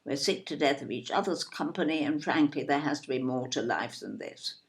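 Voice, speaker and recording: A woman's voice: extremely low pitch, fairly quick. A woman acting in an audio play as an indignant, snobbish older English mother, fussy and emphatic, with an English accent. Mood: aggrieved disbelief. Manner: emphatic, repetitive, tag questions.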